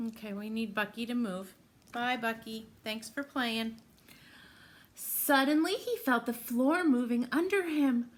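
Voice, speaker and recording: A woman's voice: on the high side, unhurried, slight background noise. A woman reading a storybook aloud to young children, encouraging them and putting questions to them directly as friends. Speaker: children's read-aloud presenter.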